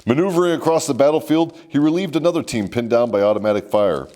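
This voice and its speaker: A man's voice: mid-range voice, animated, fast, warm tone. A man hosting a scripted comedic military history story, mock-formal and sardonic with salty slang. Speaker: host and storyteller.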